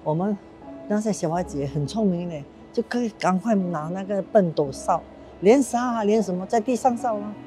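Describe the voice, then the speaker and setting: A woman in her eighties tells her story earnestly and with emotion. Her voice sits in a middle pitch. Music plays clearly beneath her speech. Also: fairly quick. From Singapore. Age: 80s. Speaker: war survivor interviewee. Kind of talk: documentary interview. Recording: clear music bed underneath.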